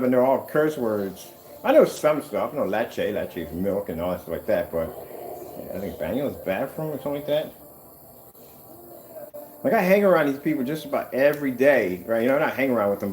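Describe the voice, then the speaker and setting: A middle-aged man chatting casually while working in a workshop, wandering off topic with animated bursts. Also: medium pitch, poor audio. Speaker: screen paint maker.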